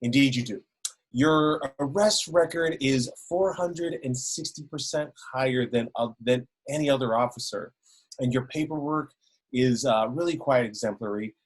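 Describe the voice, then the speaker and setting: A man's voice: medium pitch, normal speed, husky, natural voice, poor audio. A man reading a jovial, evasive police sergeant, hesitant with many restarts. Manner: hesitant, lots of ums and restarts.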